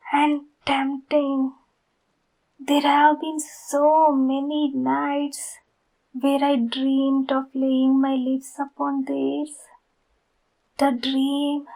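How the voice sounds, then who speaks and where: Woman acting a slow, high-voiced, obsessed yandere girl. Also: heavily acted, poor audio.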